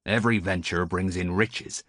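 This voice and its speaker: A man's voice: low voice, fairly even intonation, moderate pace, a bit bright, no room sound, clean studio sound. A man doing a scornful old harbour sailor. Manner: stiff, scornful, knowing. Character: leathery old male harbour sailor, superstitious.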